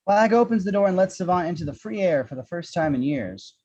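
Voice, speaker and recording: Mellow, higher-pitched man narrating a screenplay. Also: expressive, fairly quick, muffled recording. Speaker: action-description reader.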